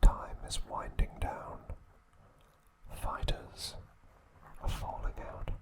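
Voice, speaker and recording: Slow, whispering ASMR man. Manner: hushed, slow, deliberate, in short broken phrases. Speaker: ASMR video host. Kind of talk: whispered ASMR trivia narration.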